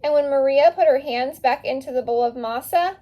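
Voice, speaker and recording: A woman with a fairly high voice reads a storybook aloud for children. Her delivery is expressive and animated, with dramatic pauses for emphasis. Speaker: teacher reading a storybook aloud. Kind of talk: animated children's read-aloud with casual asides.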